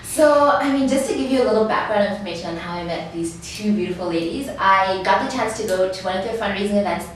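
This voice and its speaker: A woman's voice: medium pitch, fast, hardly any pauses. A woman hosting a casual sit-down interview, speaking in an effusive, complimentary way. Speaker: interviewer and host. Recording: echoey room, distant mic, faint noise.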